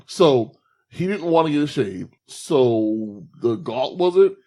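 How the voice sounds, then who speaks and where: A man's voice: medium pitch, big pitch swings, takes it slowly, mellow. A man co-hosting a movie podcast, explaining the plot step by step in casual chat. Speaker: podcast co-host.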